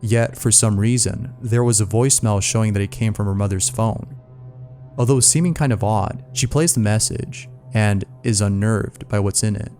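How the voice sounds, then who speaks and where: A man's voice: lowish voice, normal speed, dark tone. A man narrating scary mystery stories from a script, as the narrator of a video. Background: music.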